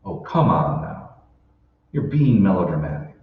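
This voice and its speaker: Man acting a bewildered everyman, low, lively, echoey. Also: unhurried. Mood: skeptical, startled. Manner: questioning, puzzled. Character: bewildered, skeptical everyman husband. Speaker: amateur stage actor. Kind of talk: old-time radio drama acting. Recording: roomy, distant, dull hall recording with hiss.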